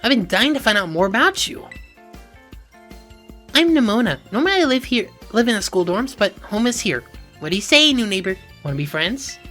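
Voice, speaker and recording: A woman plays a confident, sassy teenage girl from an anime game. The delivery is eager and breezy, with lively pitch swings over faint music. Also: a little fast. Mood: eager, friendly. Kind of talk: anime and game character voice acting.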